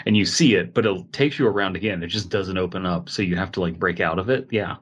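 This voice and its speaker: Low-pitched man in casual joking chat. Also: fast, slightly rough, muffled recording. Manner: joking, rambling, informal. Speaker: podcast host. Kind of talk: casual comedic podcast chat.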